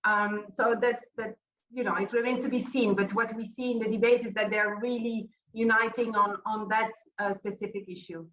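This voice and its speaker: A woman's voice: fairly high pitch, steady tone, moderate pace, fairly bright tone, slight background noise. A woman academic giving an unscripted, somewhat hesitant answer at a seminar. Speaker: academic speaker. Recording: distant mic, echoey, slightly dull sound.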